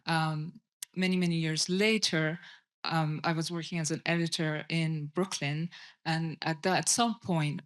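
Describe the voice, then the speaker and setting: Soft-spoken woman, smooth steady voice. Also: low voice. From Iran. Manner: soft-spoken, hesitant, many ums. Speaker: documentary director on a festival panel. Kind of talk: panel talk. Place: festival panel lounge.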